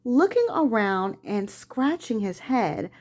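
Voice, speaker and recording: Expressive, slow-reading woman, lively pitch. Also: background noise. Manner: prompts the young reader with words. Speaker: mother reading with children. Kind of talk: expressive storybook read-aloud.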